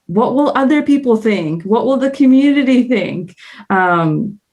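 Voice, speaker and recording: A woman's voice: fairly high pitch, lively intonation, measured pace, a little mellow, dull audio. A woman with a Canadian accent, speaking as a guest on a panel. She talks in an earnest, reflective way and thinks aloud as she goes. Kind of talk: candid panel discussion.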